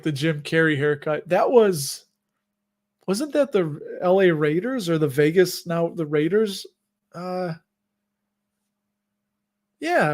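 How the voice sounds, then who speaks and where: A middle-aged man with a Chicago accent chats loosely through viewer questions on his livestream. His voice sits a little on the high side, and he often breaks off mid-thought and laughs at his own jokes. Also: darkish tone. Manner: rambling and chatty, with frequent restarts and self-amused laughs. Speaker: host of a dividend investing livestream. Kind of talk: casual livestream chat answering viewer questions.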